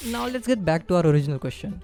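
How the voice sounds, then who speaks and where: A man's voice: high-pitched, expressive, quick pace, darkish tone, faint music behind. A man from India with a strong Indian accent, narrating a scripted explainer and addressing the viewer directly.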